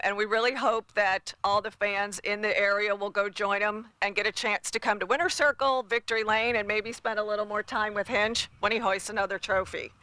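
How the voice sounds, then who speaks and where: A woman's voice: medium pitch, bright, forceful tone, smooth, dry recording. A woman speaking as a corporate sponsor representative at a press event, in a formal, appreciative manner. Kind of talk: public remarks at a press event.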